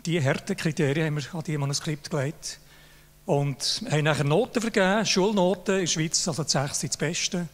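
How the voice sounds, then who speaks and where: An older man speaks with a Swiss German accent, his voice a touch high. He talks in an informal, praising way, and the handheld mic carries a long hall reverb. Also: fairly quick. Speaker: jury member presenting an award. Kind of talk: Swiss German laudatory speech. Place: large hall on a stage.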